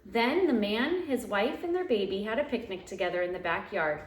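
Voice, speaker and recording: Female storybook reader for young kids, very lively intonation, expressive delivery, roomy home sound. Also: mid-range voice, background noise. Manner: expressive, dramatic drawn-out phrasing. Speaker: teacher reading aloud to young pupils. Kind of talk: animated children's storybook read-aloud. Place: living room at home. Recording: roomy, echoey home recording.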